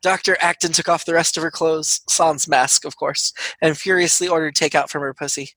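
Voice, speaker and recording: A man with a fairly high, very bright voice reads a comic piece of fiction from a script. The sound is dry and close, with little room around the words. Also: fairly even intonation, moderate pace, dry recording. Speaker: comedy troupe writer reading own story. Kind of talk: reading a scripted comic erotic story aloud.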